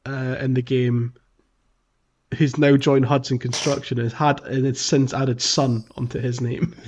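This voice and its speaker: A man's voice: medium pitch, level tone, measured pace, a little mellow, a little hiss or hum. A man co-hosting a casual video game podcast, chatting in an amused way with frequent chuckles. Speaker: podcast co-host.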